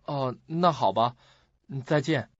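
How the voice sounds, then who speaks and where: A man's voice: mid-range voice, measured pace, no echo, natural voice. An actor playing an awkward, friendly young male student in a Chinese radio drama. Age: young adult. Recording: dull lo-fi recording.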